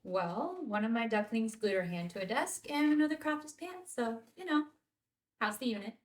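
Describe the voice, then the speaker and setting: A woman reading the part of a warm, teasing schoolteacher wife in her forties at a script table read. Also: fairly high pitch, moderate pace, recorded from a distance, natural voice. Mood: teasing, affectionate.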